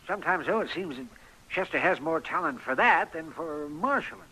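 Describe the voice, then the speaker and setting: A man playing a fussy, indulgent American townsman with a raspy voice in a radio western. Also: fairly high pitch, very animated, a little fast, sharp tone, full character voice, rough recording. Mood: exasperated, indulgent. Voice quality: raspy, creaky. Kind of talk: old-time radio western acting.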